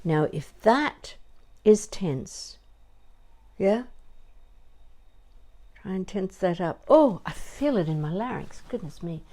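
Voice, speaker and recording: An older woman giving a conversational demonstration as a voice coach, deliberate and emphatic, pausing between short phrases. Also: mid-range voice, lively intonation, warm tone.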